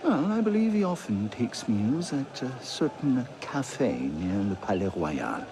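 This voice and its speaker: A man's voice: medium pitch, very animated, measured pace, noisy background. An actor playing a witty, decadent middle-aged nobleman with an arch, mocking drawl. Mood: sly.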